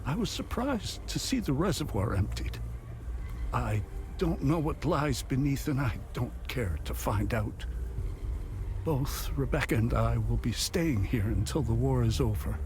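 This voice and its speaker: A man's voice: mid-range voice, lively intonation, a little slow, darkish tone, slight background noise. An actor playing a devout, fatherly older abbot in a video game, speaking in formal, pious and grave phrases. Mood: calm, gentle. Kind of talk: dramatic game dialogue acting. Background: music.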